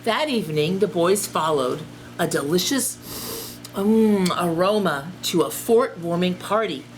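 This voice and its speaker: A woman teacher reading a storybook aloud and chatting directly to young listeners in an expressive way. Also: fairly low pitch, measured pace, slight background noise, rough recording. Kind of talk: children's storybook read-aloud and chat.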